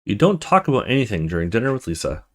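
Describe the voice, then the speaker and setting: A man's voice: on the low side, sing-song, quick pace. A man hosting a let's play, reading a horror visual novel aloud in a dramatic storytelling way with casual asides.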